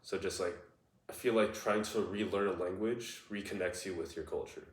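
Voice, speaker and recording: A man's voice: sits low, moderate pace, roomy sound, distant mic. A young man in his twenties answering interview questions casually, with plenty of "like" and "uh" fillers. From United States. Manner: relaxed, lots of "like" and "uh" fillers. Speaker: interviewee, nutrition student.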